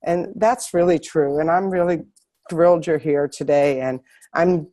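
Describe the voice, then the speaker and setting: Low, dark-voiced woman hosting, hoarse. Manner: halting, with many restarts. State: hoarse from a cold. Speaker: host. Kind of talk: online symposium interview.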